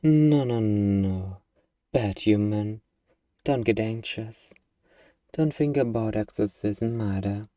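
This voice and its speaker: An eager, harmless little demon, played by a man speaks in a very dark, relaxed voice, close and dry. The delivery is slow and coaxing, full of hesitant hums and playful politeness, in the style of a comedic ASMR roleplay. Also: on the low side, no room sound. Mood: nervously reassuring. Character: eager, friendly, bumbling little demon named Amber.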